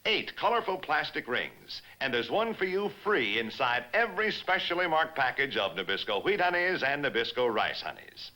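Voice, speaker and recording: A man voicing an excited boy puppet in a gushing vintage ad read. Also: mid-range voice, moderate pace, hardly any pauses. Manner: gushing, salesy. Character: excited boy puppet pitching a cereal prize. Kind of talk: vintage radio-style ad read.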